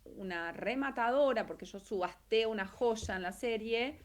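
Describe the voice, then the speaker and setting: A middle-aged woman from Argentina with an Argentine accent, speaking casually as an interview guest and explaining her work, pausing mid-thought as she goes. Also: a little high, noisy background. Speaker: interview guest, actress and translator. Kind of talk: casual interview, telling career story.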